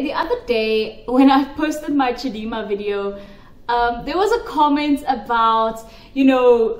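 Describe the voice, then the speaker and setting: Woman, opinionated vlog commentary, higher voice, echoey room. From South Africa. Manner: emphatic, repeats points for stress. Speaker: pageant commentary vlogger. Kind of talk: opinionated direct-to-camera commentary. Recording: roomy echo.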